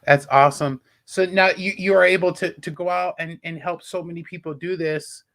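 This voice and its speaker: A man's voice: high voice, steady tone, normal speed, fairly bright tone. A man with a casual, chatty style, acting as an interviewer. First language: English.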